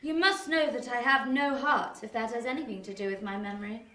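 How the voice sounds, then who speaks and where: Cold elegant young lady, high bright voice, haughty, precise, radio play, off-mic. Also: fairly quick. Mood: cold, serious. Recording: roomy echo, distant from mic.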